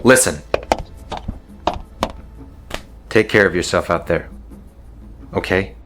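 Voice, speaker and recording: A man's voice: low voice, normal speed, music in the background, lightly acted. A man voicing a weary, dutiful male colonel and new wing commander in a fan audio drama, dry and wry. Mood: tender.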